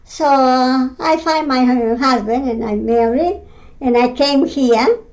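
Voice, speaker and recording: A woman in her nineties from Greece with a Greek accent, recounting her mill work in an oral history interview at home, halting with many fillers and simple non-native grammar. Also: high voice, a little mellow, low-quality recording. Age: 90s. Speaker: interviewee, retired mill weaver.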